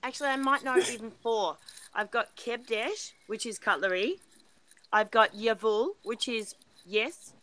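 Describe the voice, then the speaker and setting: A woman's voice: a little high, measured pace, pushed and bright, poor audio. A woman joining casual banter in a playful, teasing way.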